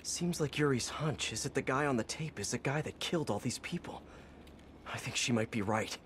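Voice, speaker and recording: An actor playing an earnest young superhero in a video game, thinking aloud in a wry, worried way. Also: male voice, mid-range voice, a little fast, noisy background, lightly acted. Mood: musing. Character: young male web-slinging hero, earnest. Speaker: game protagonist. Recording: game audio with music.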